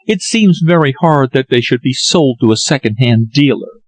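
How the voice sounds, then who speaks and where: A man's voice: medium pitch, lively intonation, moderate pace, no room sound, close to an everyday voice. A man reading an audiobook, voicing a distressed young woman who speaks in an earnest, anxious, sorrowful way. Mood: tearful.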